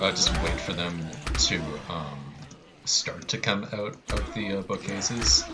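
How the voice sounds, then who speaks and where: A man's voice: sits low, noisy background. A man giving casual, wry live commentary on a video game speedrun, talking to his viewers. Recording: game music behind.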